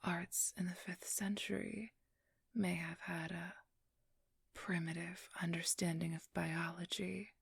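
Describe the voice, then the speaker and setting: Woman doing a sly haughty vampire-ish thief lady for asmr, super breathy whisper, close dry sound, formal n condescending. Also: lowish voice, flat delivery, slow pace, dry recording, heavily acted. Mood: scholarly, assured. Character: cunning, haughty female supernatural thief posing as scholar.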